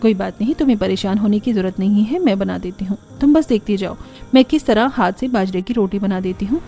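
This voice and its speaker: A woman's voice: mid-range voice, a little fast, mellow, soft background music, close to an everyday voice. A woman voicing a weary but helpful elder sister-in-law in a cartoon story, explaining things emphatically. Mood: reassuring. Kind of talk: Hindi cartoon story character acting.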